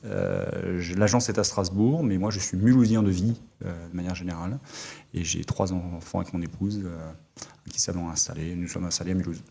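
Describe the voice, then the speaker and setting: Gravelly low-voiced Alsatian man. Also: steady tone, warm tone. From Alsace, France. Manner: halting, many fillers and restarts. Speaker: guest, former army officer. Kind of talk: casual interview talk.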